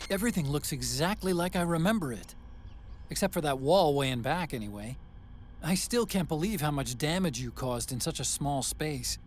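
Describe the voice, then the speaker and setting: A man's voice: on the high side, lively intonation, moderate pace, a little hiss or hum, natural voice. A man playing a friendly, chatty tech-expert sidekick in a video game, with an American accent and a lightly teasing, helpful way of talking. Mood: reflective, easygoing.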